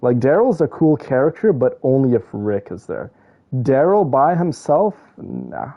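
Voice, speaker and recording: A man streaming a game and chatting with his viewers. His mid-pitched voice is creaky with fry, and he rambles through opinions in casual slang. The sound is a little dull. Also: huge pitch swings, measured pace. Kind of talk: casual chat with viewers while playing.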